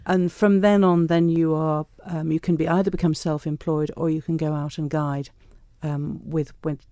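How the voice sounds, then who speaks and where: A woman's voice: low-pitched, level tone, normal speed, dark tone, no echo. A middle-aged woman with a big voice, chatting anecdotally as a studio interview guest. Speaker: studio guest, self-employed tour guide. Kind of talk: conversational interview. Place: radio studio.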